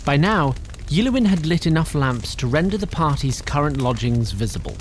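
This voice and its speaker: A man narrating an audio drama in a wry, expressive way. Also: on the high side, moderate pace, slight background noise. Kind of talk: scripted narration.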